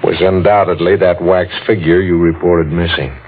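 An actor playing a calm, matter-of-fact police sergeant with a measured, dry manner in 1940s radio drama style. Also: male voice, low voice, natural voice. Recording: tinny old radio recording, faint noise.

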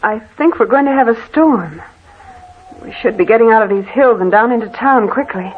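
An actress plays a worried, solicitous wife in a 1940s-style radio drama. Her diction is earnest and formal. The recording is narrow and tinny, with clear noise behind her. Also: fairly high pitch, expressive, normal speed, natural voice. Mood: uneasy, reassuring. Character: anxious, solicitous wife and sister in a storm.

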